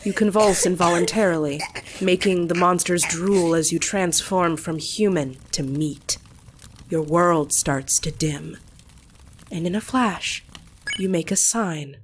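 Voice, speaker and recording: A woman voicing a grave monster in a solemn, deliberate, dramatic delivery for a comic dub. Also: fairly low pitch, slight background noise, lightly acted. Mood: ominous. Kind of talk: dramatic scripted acting. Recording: background music under the voice.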